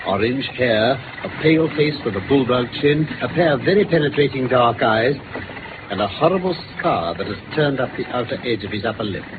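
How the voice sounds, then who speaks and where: Man acting brisk British detective, theatrical and articulate, very lively intonation, vintage radio drama, thin phone-like sound, clear hiss. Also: medium pitch, close to an everyday voice. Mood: brisk, matter-of-fact. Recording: noisy, phone-like old radio recording.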